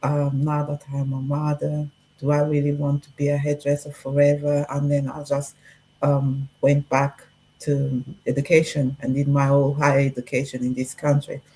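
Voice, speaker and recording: A woman in her forties, from Portugal, telling her own story in a relaxed podcast chat with frequent pauses and fillers. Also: sits low, slight background noise, rough recording. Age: 40s. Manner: reflective, laughs easily, many fillers. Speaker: guest. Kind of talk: casual podcast conversation.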